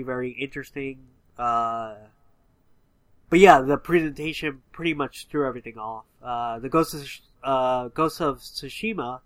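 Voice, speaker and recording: A man's voice: medium pitch, fairly bright tone, no room sound. A man with a slightly nasal, stuffy-nosed voice, chatting casually about video games as a podcast co-host, rambling with lots of fillers. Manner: rambling, filler-heavy, enthusiastic. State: stuffy nose. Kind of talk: casual gaming chat.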